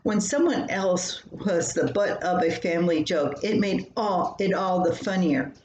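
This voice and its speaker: An older woman reads a humorous family column aloud as a storyteller. Her voice sits a little low and moves slowly, with a plain homespun delivery. The room adds noticeable echo, with faint noise underneath. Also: lively intonation, a little mellow, lo-fi sound. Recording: roomy home recording with faint noise.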